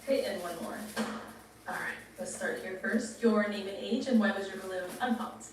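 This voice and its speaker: Female game show host, informal prompts and questions, medium pitch, strongly reverberant with faint noise. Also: moderate pace. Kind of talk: casual, directive show hosting with questions. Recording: echoey, distant, faint noise.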